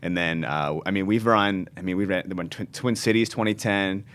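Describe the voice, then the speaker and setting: A man's voice: low-pitched, moderate pace, a bit bright, dry recording. A man in his thirties, a pastor, telling his story earnestly in an interview and often restarting his words. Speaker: pastor and ministry founder.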